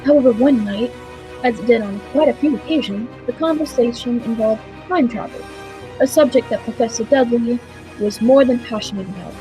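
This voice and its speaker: A woman's voice: a little high, fairly quick, music in the background, poor audio. A woman reading scripted narration for an old-time radio play.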